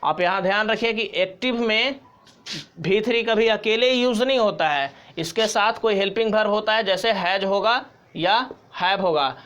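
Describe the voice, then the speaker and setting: A man's voice: high voice, normal speed, fairly bright tone, smooth, lo-fi sound. A man with an Indian accent teaching English grammar step by step, repeating key points in an instructional way. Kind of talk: step-by-step tutorial explanation.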